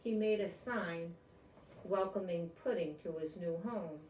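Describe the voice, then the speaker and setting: Slow-paced older woman reading stories, roomy sound. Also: fairly low pitch, a little hiss or hum. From United States. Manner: gentle, simple grandmotherly explaining to children. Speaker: storyteller reading a picture book. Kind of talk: read-aloud storytelling with chatty asides. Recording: roomy echo, distant microphone.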